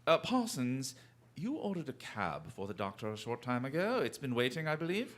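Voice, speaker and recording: A bright-voiced, animated gentleman detective. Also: medium pitch. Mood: genial, sly. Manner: urbane, theatrical, drawn-out courteous phrasing. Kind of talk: theatrical radio drama acting.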